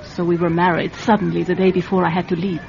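A woman's voice: lowish voice, little pitch movement, fast, creaky, a little mellow, a faint crowd, a little hiss or hum. An actress playing a proud, emotional woman drawn into espionage in a radio drama, speaking with earnest, wounded intensity. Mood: haunted, sorrowful. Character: proud, emotional young woman volunteering as a spy. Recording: dull radio recording with faint music. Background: faint music.

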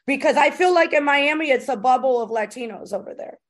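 A woman's voice: high voice, brisk. A woman hosting a casual podcast interview, chatting informally with slangy phrasing. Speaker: podcast host interviewing a comedian.

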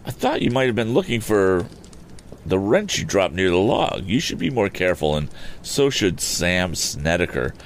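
A man lifting his voice into a confident young boy inventor, cool, crisp and commanding, with lively rises and falls in his speech. Pitched well above the reader's own low voice, it sounds like a storybook hero squaring up to a bully. A faint hiss of noise sits under the reading. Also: normal speed. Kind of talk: audiobook character voice.

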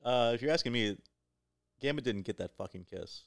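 A man's voice: medium pitch, animated, a little fast, vocal fry, no room sound, studio quality. A man co-hosting a podcast with casual, joking banter and an irreverent, profane way of talking. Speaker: podcast co-host.

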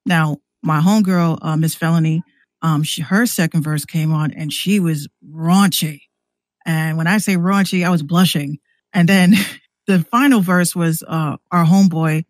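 A woman's voice: low-pitched, a little slow, dry recording. Casual woman, dry close sound. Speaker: podcast guest and musician. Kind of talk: casual chat.

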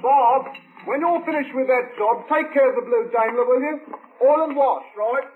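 An actor playing a blunt working-class garage owner with a London accent in an old radio drama, brash, chatty and sardonic. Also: male voice, extremely high pitch, normal speed, phone-quality sound. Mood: brisk. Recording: thin, noisy old radio recording.

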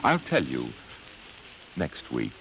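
Very deep American radio host, dramatic. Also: male voice, wildly swooping pitch, slow, creaky. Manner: dramatic, ominous storyteller. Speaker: host and narrator. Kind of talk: 1950s radio narration, scripted. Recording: noisy old radio broadcast recording.

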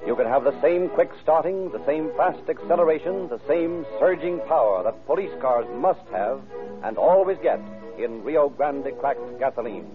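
A man's voice: fairly high pitch, lively intonation, moderate pace, over music. A man announcing and narrating from a script in an emphatic, polished 1930s radio style. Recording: tinny old radio recording.

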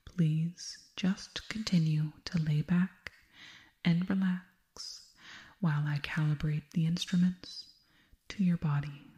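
A serene, otherworldly alien girl played by a woman lulls the listener in an ASMR hypnosis roleplay. Her voice is low, mellow and breathy, mostly dropping into a whisper. She speaks very slowly in formal, hypnotic phrases, detached but soothing. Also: steady tone, slight background noise. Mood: calm, clinical.

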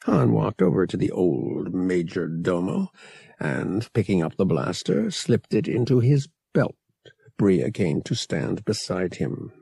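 A man reads adventure fiction as a dramatic narrator. His voice is low and husky, moving with a very animated intonation at a measured pace. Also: dry recording. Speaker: audiobook narrator.

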